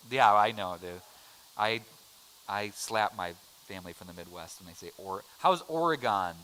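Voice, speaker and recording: A man's voice: lowish voice, normal speed, sharp tone, a little hiss or hum, poor audio. A middle-aged American man teaching a casual adult Bible class, chatty and joking as he quizzes the room. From United States. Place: church classroom.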